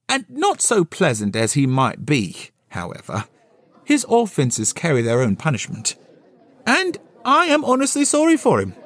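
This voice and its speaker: A man playing a cheerful, good-natured young gentleman with a hearty, chuckling, animated manner in an audio play. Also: a little high, voice pushed up, exaggerated voice. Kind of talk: theatrical audio play of a classic novel.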